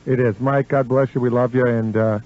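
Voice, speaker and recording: A man's voice: medium pitch, steady tone, fairly quick. A man hosting a casual radio interview in an effusive, interjecting way. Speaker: radio host. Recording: slightly dull radio recording.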